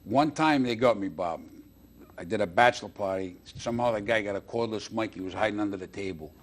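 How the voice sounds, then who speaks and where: A man's voice: fairly low pitch, brisk. A middle-aged man. He talks casually, like a comedian guesting on a chat show. He is enthusiastic and repeats phrases to drive them home. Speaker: guest, stand-up comedian and booker.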